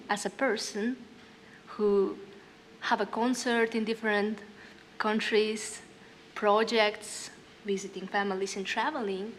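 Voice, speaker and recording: A young woman musician from northern Kazakhstan, a native Russian speaker, talking on a panel in halting English with many fillers and reading from her diary. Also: mid-range voice, fairly bright tone, a little hiss or hum. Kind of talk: panel talk with read-aloud diary. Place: hall panel stage.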